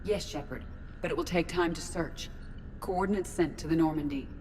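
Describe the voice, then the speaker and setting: A woman voicing a calm, precise artificial intelligence in a video game, giving clinical status reports. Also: sits low, normal speed, slight background noise, natural voice. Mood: composed, clinical.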